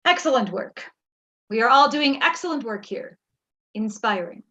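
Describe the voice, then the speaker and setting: A woman's voice: a little high, expressive, moderate pace, pushed and bright. A woman playing an icy, smugly controlling government director with an officious, falsely polite manner, in a staged play reading. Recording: slightly dull, lo-fi audio.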